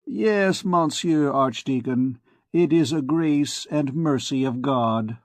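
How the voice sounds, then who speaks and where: A man's voice: mid-range voice, takes it slowly, no room sound, close to an everyday voice. A man reading aloud plays a crafty royal physician of about fifty in a storybook. He grumbles and pities himself, letting his phrases drag. The sound is close and dry, with no room around it. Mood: modest. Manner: grumbling, self-pitying. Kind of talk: audiobook dialogue.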